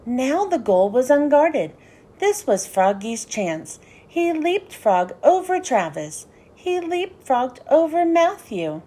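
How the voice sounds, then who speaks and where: A woman reading a children's storybook aloud in an animated, sing-song way with deliberate phrasing. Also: high-pitched. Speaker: children's book read-aloud host. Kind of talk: expressive storybook narration for young children.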